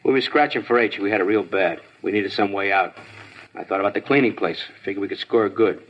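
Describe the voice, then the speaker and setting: An actor as an evasive young addict suspect. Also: male voice, lowish voice, fairly quick, close to an everyday voice. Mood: confessing. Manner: defensive, evasive. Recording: dull lo-fi 1950s radio recording.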